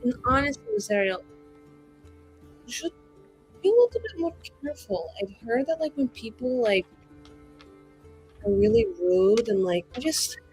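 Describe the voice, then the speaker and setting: Preachy young woman played by a woman, higher pitch, sugary, hesitant, passive-aggressive, music behind. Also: sing-song, normal speed, pauses often, darkish tone, low-quality recording. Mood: sweetly scolding. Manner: hesitant, sugary, filler-laden.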